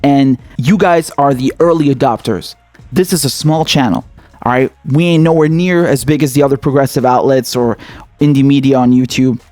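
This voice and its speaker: A man from Syria hosts his own small channel and speaks straight to his viewers. He sounds earnest and grateful and drops casual slang as he talks. His voice sits a touch high for a man, and the sound is dry and close. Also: a little mellow, dry recording. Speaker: independent political YouTube host. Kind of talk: casual thank-you talk to viewers.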